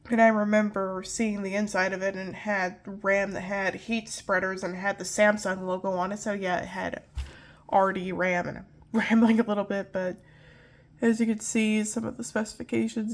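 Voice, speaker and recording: A young woman with a nasal voice from pollen allergies, casually walking through old computer hardware and often correcting herself as she rambles. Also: medium pitch. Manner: rambling, self-correcting, apologetic. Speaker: tech video host.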